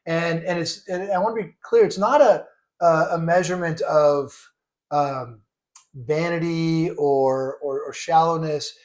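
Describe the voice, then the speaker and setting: A man's voice: on the high side, unhurried, a little mellow. A man co-hosting a casual chat, earnestly clarifying points and often restarting his sentences. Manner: earnest, stresses being clear, restarts often.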